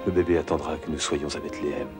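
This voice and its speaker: An actor playing a calm, reassuring husband on a journey in a cartoon. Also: male voice, rumbling low, quick pace, loud music behind the voice. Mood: tender, reassuring. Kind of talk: French cartoon dub acting.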